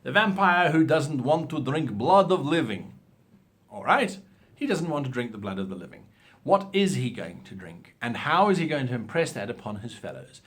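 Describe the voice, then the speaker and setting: A man with a very animated, swooping delivery and a medium-pitched voice. He talks like a host teaching a hobby, laying out examples with deliberate emphasis and a theatrical turn of phrase. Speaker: host of a role-playing advice show. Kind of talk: instructional talk with examples.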